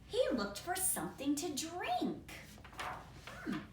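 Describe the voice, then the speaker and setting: A woman reading a picture book aloud to children, expressive and deliberate, with simple asides. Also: high voice. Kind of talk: children's read-aloud narration. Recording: roomy, distant, noisy home recording.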